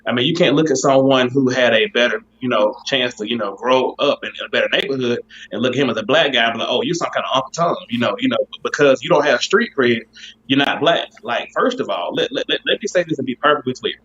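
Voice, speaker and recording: Man, quick storyteller on a talk show, dull lo-fi recording. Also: medium pitch. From west side of Birmingham, Alabama. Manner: animated storyteller, acts out quoted voices. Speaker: podcast host. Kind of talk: casual chat. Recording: dull lo-fi sound.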